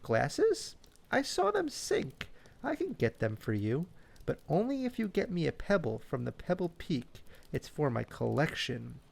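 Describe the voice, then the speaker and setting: A man's voice: fairly high pitch, wildly swooping pitch, a little slow, creaky, slight background noise, voice pushed up, full character voice. A man voicing a casual, bargaining pond frog in a children's bedtime story.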